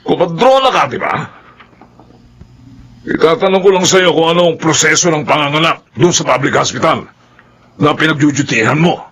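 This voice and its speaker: Brash, husky, hot-tempered father. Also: on the high side, moderate pace, pauses often, a bit bright. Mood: gruff. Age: middle-aged. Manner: scolding, domineering, boastful. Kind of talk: radio drama acting.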